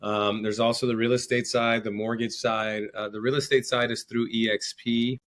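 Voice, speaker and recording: A man's voice: mid-range voice, flat delivery, normal speed, a bit bright, slight background noise. A man speaking as a podcast guest, explaining things conversationally and often repeating words as he goes. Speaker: podcast guest, entrepreneur and coach.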